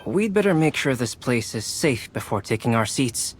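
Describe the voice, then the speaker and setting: Cautious, level-headed young man of learning for a game , male actor: medium pitch, composed. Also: big pitch swings, a little hiss or hum, natural voice. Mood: calm, careful. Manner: measured, composed. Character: calm, analytical, cautious young male scholar. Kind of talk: video game character acting.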